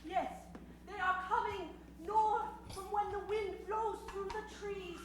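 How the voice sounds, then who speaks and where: A woman playing an earnest frontier woman in an improvised radio western, deliberate and theatrical. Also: very high voice, steady tone, noisy background. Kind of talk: improvised old-time radio acting. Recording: very echoey stage.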